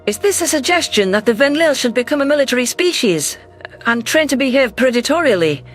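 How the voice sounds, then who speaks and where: Man putting on an anxious, high-pitched female alien governor. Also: sing-song, a little fast, fairly bright tone, full character voice. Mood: wary, indignant. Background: faint music.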